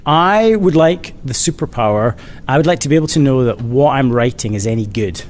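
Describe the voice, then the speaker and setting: Playful man, noisy rumbling recording. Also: animated, a little fast. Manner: dry, playful humour. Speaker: children's author being interviewed. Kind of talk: casual interview answers. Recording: clear background noise, low rumble.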